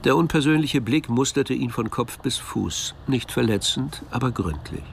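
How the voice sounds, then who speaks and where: A man narrating a radio drama, telling the story in a measured, precise literary style. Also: medium pitch, expressive, creaky, slight background noise. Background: music.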